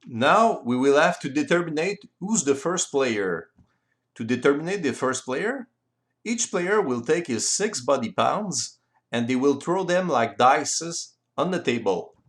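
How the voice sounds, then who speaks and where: A man with a French accent, a native French speaker, explaining board game rules in careful, deliberate non-native English as an instructional voice-over. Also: on the high side, very animated.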